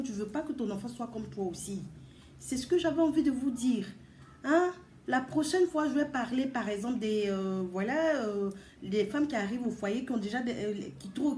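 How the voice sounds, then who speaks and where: An earnest woman talks straight to her viewers, pressing her points by repeating them. Her voice sits fairly high but sounds mellow, and the room around her is echoey. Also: slight background noise. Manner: earnest, emphatic, repeats words and addresses listeners directly. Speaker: vlogger giving advice to mothers. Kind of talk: casual advice talk to viewers.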